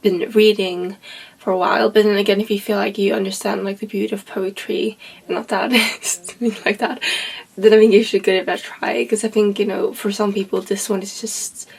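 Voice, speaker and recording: A woman's voice: medium pitch, flat delivery, a little fast, gravelly, slightly rough. A woman in her twenties, a native Swedish speaker with a Swedish accent, rambling casually about a favorite book with lots of fillers. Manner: rambling, heavy on fillers like "like" and "you know". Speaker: book vlogger.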